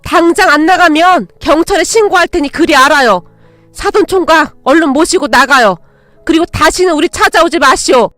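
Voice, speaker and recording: A woman's voice: sits high, normal speed, fairly bright tone, dry recording, faint music behind. A woman voicing a protective older mother-in-law in a dramatized story, with a folksy, old-fashioned elder's way of speaking. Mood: fierce, protective. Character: fierce, protective older mother-in-law, tender with her own.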